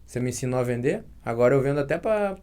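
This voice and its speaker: Male podcast guest, average pitch, conversational and informal delivery. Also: animated, very fast, dark tone, clear, resonant tone. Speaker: podcast guest, dental sales mentor.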